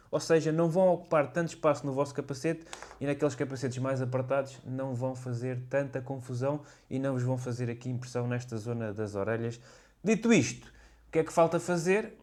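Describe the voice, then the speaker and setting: Portuguese guy from portugal doing a chatty moto gear review, medium pitch, explains stuff casually. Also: a little mellow. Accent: European Portuguese. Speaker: motorcycle vlogger reviewing gear. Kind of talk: casual product review to camera.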